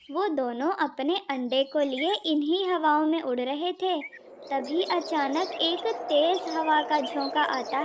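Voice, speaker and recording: A woman's voice: sits high, slight background noise. A woman narrator reading a scripted children's story.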